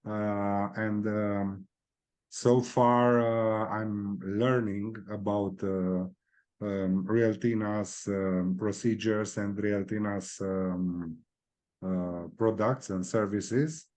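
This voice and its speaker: A man with a Romanian accent, a guest speaking in a halting way, often searching for words and filling pauses. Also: on the low side, fairly even intonation, a little mellow. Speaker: guest, real estate executive. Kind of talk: video interview.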